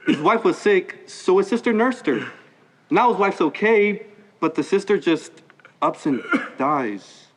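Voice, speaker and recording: A man's voice: high-pitched, animated, measured pace. A teenage male student actor playing a chatty working-class hospital porter in a stage play. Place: high school theater stage.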